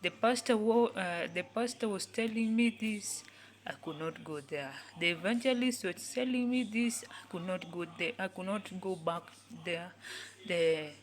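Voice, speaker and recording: A young woman in her twenties speaks slowly and earnestly, saying each phrase again and again as if pleading with her listeners. Her voice sits in a medium range, and now and then it sinks to a hushed whisper. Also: mid-range voice. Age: 20s. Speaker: youth speaker. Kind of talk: repetitive motivational talk.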